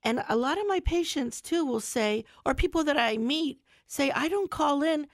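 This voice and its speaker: A woman's voice: high voice, expressive, moderate pace, no room sound, studio quality. A middle-aged woman, a family physician hosting a radio health show, talking casually while stuffy from a cold and repeating words for emphasis. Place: radio studio.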